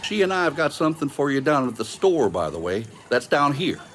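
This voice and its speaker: An actor playing a folksy, wry pilot mentor in a video game cutscene, welcoming but firm and plain-spoken. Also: male voice, on the high side, big pitch swings, fairly quick, a little hiss or hum. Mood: friendly, firm.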